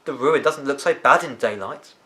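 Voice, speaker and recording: A man's voice: mid-range voice, moderate pace, bright, forceful tone, voice pushed up, natural voice. A man voicing a good-natured, sensible schoolboy in a children's story, with a questioning manner.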